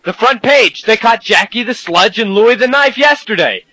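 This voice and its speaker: An indignant young sidekick in a comedy skit, played by a man. The voice is high and raspy, alarmed in tone, recorded very dry. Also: lively intonation, moderate pace, bright, forceful tone, no room sound, exaggerated voice. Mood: puzzled. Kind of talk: amateur comedy skit acting.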